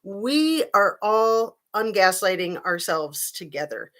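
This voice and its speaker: Woman in her fifties, slightly raspy, lowish voice, casual livestream host, chatty, lots of ums, webcam mic. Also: fairly bright tone. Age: 50s. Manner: chatty, frequent fillers, greets chat viewers. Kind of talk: casual live-chat commentary.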